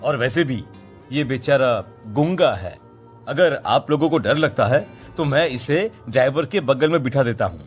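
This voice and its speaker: A man's voice: mid-range voice, lively intonation, unhurried, soft background music, natural voice. A man voicing a brazen, villainous bus crewman in a horror cartoon, with a casual manner. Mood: dismissive, reassuring.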